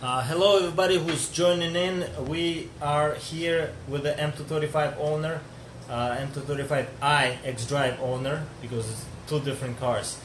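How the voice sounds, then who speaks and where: A man's voice: on the high side, fairly even intonation, normal speed. A man from Georgia hosting a casual live stream chat, chatty and joking as he answers viewers. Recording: echoey room with faint noise.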